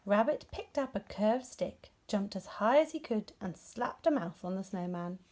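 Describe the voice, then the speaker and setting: A woman reading a scripted children's story aloud in an expressive, performed way. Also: mid-range voice, normal speed. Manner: expressive, acts out dialogue. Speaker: storybook reader.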